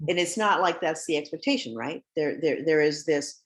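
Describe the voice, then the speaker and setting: Woman judge with a very low voice, chatty and animated, telling family anecdotes in a webinar conversation. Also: moderate pace. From United States. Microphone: video call.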